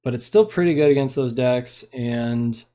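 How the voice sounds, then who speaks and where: A young man talks through his card game in a relaxed, rambling way, full of fillers and half-finished thoughts. His voice is mellow and of middling pitch. Faint mouse clicks sit behind him. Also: normal speed. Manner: laid-back, rambling, lots of fillers. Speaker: streamer playing a card game. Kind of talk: casual gameplay commentary.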